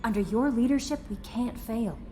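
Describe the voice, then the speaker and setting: A woman's voice: a little high, moderate pace, dark tone, faint music behind, lightly acted. A woman playing an earnest, personable young ship's aide in a video game. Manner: eager, personable.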